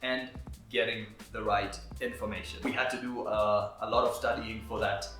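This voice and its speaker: A man's voice: lowish voice, fairly even intonation, normal speed, bright, forceful tone. Swahili-speaking man giving earnest advice. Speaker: videographer and business owner. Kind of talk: talking-head advice vlog. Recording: roomy echo with faint background music.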